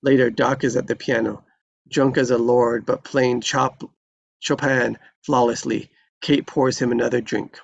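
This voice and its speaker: A man reading screenplay stage directions as scripted narration, with a gravelly voice and slightly dull sound. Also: medium pitch, fairly even intonation, a little slow.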